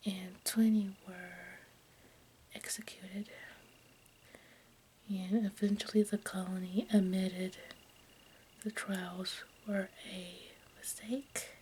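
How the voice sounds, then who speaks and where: A woman speaks in a breathy, unvoiced whisper for ASMR. Her delivery is slow and very even, with halting stumbles as she reads informally. A steady, noticeable noise sits behind the voice. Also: medium pitch. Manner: halting, stumbles over words while reading. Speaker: ASMR video host.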